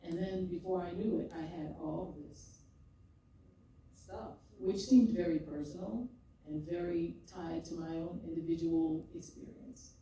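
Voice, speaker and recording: An older woman, a poet and podcaster, telling her story in an expressive, rhythmic way and often laughing, before a live audience. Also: fairly low pitch, a little slow, a little hiss or hum. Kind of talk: reflective conversational storytelling. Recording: echoey hall, mic far off.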